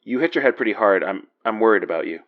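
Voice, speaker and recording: A man plays a protective, worried friend in a horror audio drama. His voice is on the low side, soothing, with small hesitations. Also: expressive, phone-quality sound, natural voice. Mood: worried, hesitant. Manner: soothing, halting when worried. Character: concerned, steady, protective male friend.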